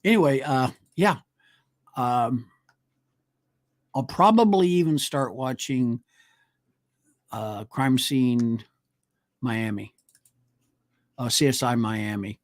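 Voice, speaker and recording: Man, mid-pitched voice, halting, lots of ums, dry close mic. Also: no echo. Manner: hesitant, many fillers, loses names. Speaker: co-host. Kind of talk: casual chat. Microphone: studio mic on a live stream.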